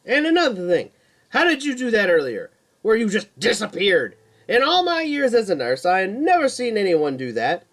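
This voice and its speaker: Man putting on a high, scolding older nurse. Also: wildly swooping pitch, moderate pace, a bit bright, full character voice. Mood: indignant disbelief. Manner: scolding, insistent. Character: indignant, inquisitive older female hospital nurse.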